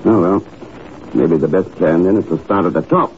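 An actor playing a suave, shrewd secret agent in a 1950s radio drama, with a dry, unruffled, probing manner. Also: male voice, low voice, normal speed, lightly acted. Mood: probing, composed. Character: suave, shrewd male secret agent posing as grain buyer. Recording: muffled, noisy old radio broadcast recording.

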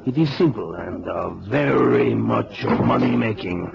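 An actor playing a weary, courteous border officer with a Mexican accent and formal, measured phrasing in an old radio drama. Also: male voice, mid-range voice, expressive, vocal fry, muffled recording, very noisy. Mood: grave, wary. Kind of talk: 1970s radio drama acting.

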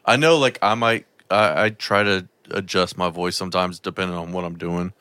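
A man with a deep voice and a rough throat, talking loosely as a podcast guest, swearing and stumbling over restarts. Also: fairly even intonation, normal speed, bright, forceful tone, no room sound. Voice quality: deep voice, throat often raspy. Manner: rambling, swears, stammers on restarts. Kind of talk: casual chat.

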